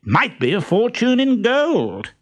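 A man's voice: extremely high pitch, wildly swooping pitch, slow pace, highs cut off, voice pushed up, heavily acted. A man voicing a kind-hearted, mischievous male servant with a playful, mock-innocent manner. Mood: playfully sly.

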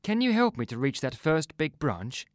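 A man acting an excitable boy adventurer. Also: a little high, moderate pace, no echo, studio quality. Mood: earnest, determined. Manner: excitable, expressive. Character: eager, resourceful boy stranded in the jungle. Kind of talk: dramatised audiobook dialogue.

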